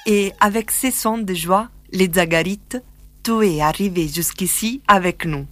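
Slow calm woman narrating. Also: medium pitch, soft background music. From southern Italy. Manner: calm reflective storytelling. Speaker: documentary narrator. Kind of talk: scripted narration.

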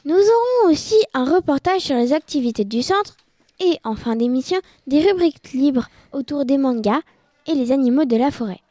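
A woman's voice: high-pitched, lively intonation, moderate pace, dark tone, dry recording, studio quality. A young co-host of a children's radio show who reads the intro and teases her partner. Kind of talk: reading a script, then interviewing.

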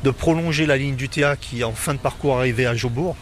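A middle-aged man from Paris with a Parisian accent, answering interview questions in a relaxed, hesitant way full of fillers. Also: medium pitch, fast talker. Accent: Parisian French. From Paris, France. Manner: hesitant, many fillers and restarts.

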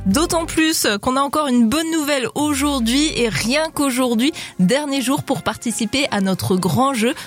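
A woman's voice: high-pitched, normal speed, hardly any pauses, soft background music. Cheerful woman co-hosting morning radio. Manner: cheerful, laughs easily, many fillers.

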